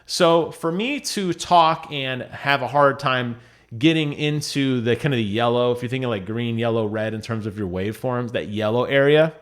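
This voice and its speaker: A man's voice: mid-range voice, normal speed, fairly bright tone. A man presenting audio gear in a casual explanatory vlog, talking conversationally with emphatic repetition of key phrases.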